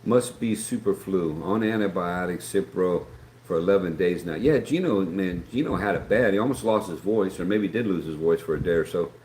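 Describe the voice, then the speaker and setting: A man's voice: low voice, normal speed, darkish tone. A middle-aged man from West Virginia with an Appalachian accent, chatting casually and rambling as he hosts a live stream and reads out viewer comments. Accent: Appalachian American. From West Virginia, USA. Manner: rambling, folksy, reads chat aloud. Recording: echoey room with faint background noise.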